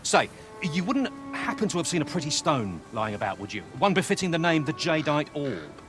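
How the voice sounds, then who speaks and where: An actor playing a hearty, put-upon shopkeeper in a fantasy game, with folksy, expressive, old-fashioned speech. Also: male voice, a little high, moderate pace, over music. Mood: worried. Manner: folksy, expressive, archaic phrasing. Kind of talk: scripted fantasy game dialogue.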